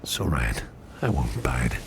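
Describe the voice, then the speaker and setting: Guy doing a super deep croaky boss for asmr, slow and teasing, protective, kinda whispery, noisy ambience behind. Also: sing-song, full character voice. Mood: caring, insistent. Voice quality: very deep, gravelly, breathy near-whisper. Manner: slow, soothing, teasing, repeats phrases softly. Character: doting, flirtatious, protective male businessman boss. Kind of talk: soft intimate ASMR roleplay.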